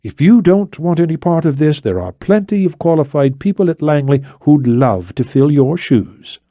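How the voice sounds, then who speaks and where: A man's voice: on the high side, big pitch swings, moderate pace, warm tone, no echo, studio quality, pitched above the performer's own voice. A man voicing a determined, defensive woman intelligence operative in an audiobook, speaking insistently and emphatically. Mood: indignant. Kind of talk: audiobook character dialogue.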